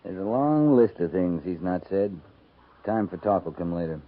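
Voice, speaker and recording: An actor playing a steady, dry-witted cavalry captain in a 1950s radio western, terse and understated in his authority. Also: sits low, normal speed. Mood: dry, resolved. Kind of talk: 1950s radio drama acting. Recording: noisy, tinny 1950s radio recording.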